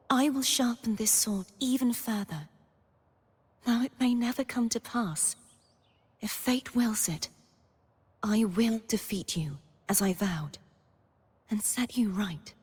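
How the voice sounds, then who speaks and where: A young woman playing a gentle, earnest, wistful maiden heroine in scripted video game lines, soft-spoken and breathy. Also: mid-range voice, measured pace, pauses often. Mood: warm, resolute. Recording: long reverb on the voice.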